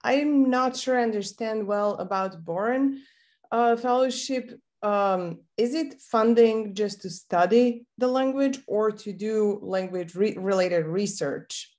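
A woman, a native Serbo-Croatian speaker, asking questions as a student on a video call in a halting, hesitant way. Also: medium pitch, darkish tone.

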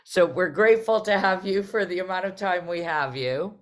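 A woman's voice: on the low side, moderate pace. Welcoming middle-aged woman hosting. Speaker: host, theater artistic director. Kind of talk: hosting a panel conversation. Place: indoors on a video call. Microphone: video call.

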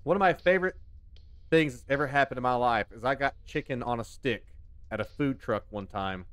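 A man's voice: medium pitch, fairly quick, fairly bright tone, no room sound, soft background music. A man, chatting and joking on a headset mic while streaming a game from his home desk. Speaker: gaming streamer. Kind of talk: casual live commentary while playing.

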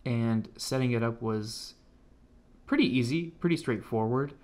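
An American man talks through a hobby electronics demo in a medium-pitched voice. His delivery is laid-back and informal, like someone explaining a project at his desk. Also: a little slow, darkish tone. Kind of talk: casual tech demonstration. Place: indoors at a home computer desk.

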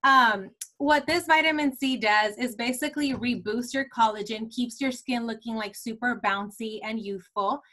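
This is a woman in her twenties walking her sales team through skincare products. Her voice sits a little high, and her talk is casual and rambling, full of "like" and "um". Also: normal speed, few pauses, pushed and bright. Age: 20s. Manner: chatty, many fillers like "like" and "um". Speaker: team leader of a beauty sales team.

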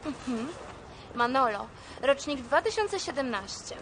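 A brash, high-voiced older woman. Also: big pitch swings, slow, noisy background, exaggerated voice. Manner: brash, boastful and foul-mouthed. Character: brash vain older woman hustler, extravagant and foul-mouthed. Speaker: film character, a broke woman selling shoes. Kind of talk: film acting.

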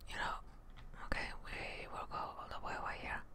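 A young woman doing ASMR game commentary in a breathy whisper close to the microphone, repeating short phrases and swearing under her breath. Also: moderate pace. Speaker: gaming streamer. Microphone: close microphone on boom arm, with headset.

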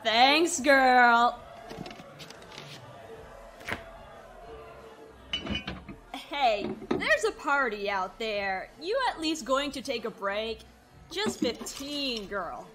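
A breezy young party girl, played by a woman in an audio drama. She is tipsy and slangy, drawling slowly in a high voice. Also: lively intonation, over music. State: drunk.

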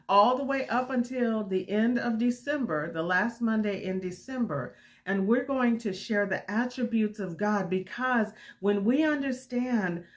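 Slightly raspy woman teaching a devotional. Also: medium pitch. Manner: candid, unscripted, repeats and restarts. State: getting over a cold, a bit raspy. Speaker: women's ministry host.

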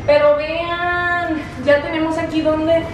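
A woman's voice: very high voice, level tone, slow pace. A woman in her twenties giving a casual house tour vlog, bubbly and enthusiastic, using informal slang. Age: 20s. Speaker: vlogger. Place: empty rooms of a house, indoors. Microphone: camera held by another person, few metres away. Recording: echoey empty rooms, distant mic, faint noise.

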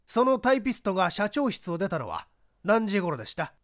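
A man voicing an authoritative prosecutor in a mystery audiobook, questioning witnesses formally and deliberately. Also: high voice, very animated, no room sound, studio quality. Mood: probing.

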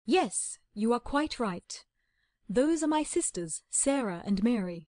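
A woman reading the part of a weary older spinster lady in an audiobook, speaking slowly and plaintively. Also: a little high, expressive, mellow, no room sound. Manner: plaintive, slow and heartfelt.

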